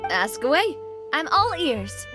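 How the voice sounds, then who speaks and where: High-pitched, upbeat, obliging shop helper. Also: female voice, big pitch swings, slow pace, music in the background, exaggerated voice. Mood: eager, friendly. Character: upbeat, obliging female shopkeeper eager to help. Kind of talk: video game voice acting.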